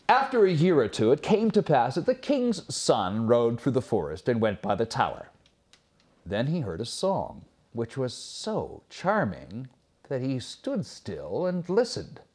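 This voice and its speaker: A man reading a fairy tale as a storyteller, in a dramatic, expressive scripted narration with pauses. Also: mid-range voice.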